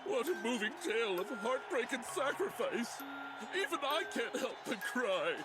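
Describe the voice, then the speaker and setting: A pompous game overlord, with an extremely high voice that swoops theatrically. He is flowery and melodramatic, over loud music. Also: very animated, moderate pace. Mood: melodramatic sorrow. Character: theatrical, pompous, sentimental male tournament overlord. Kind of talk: video game voice acting.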